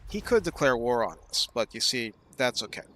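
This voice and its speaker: A man giving casual gameplay commentary, explaining his strategy thoughtfully and often pausing with fillers. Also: mid-range voice, big pitch swings, pushed and bright.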